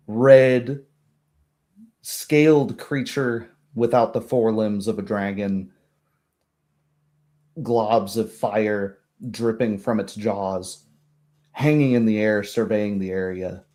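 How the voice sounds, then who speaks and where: A man's voice: fairly low pitch, mellow. A man narrating a tabletop roleplay game as game master, in vivid descriptive phrases.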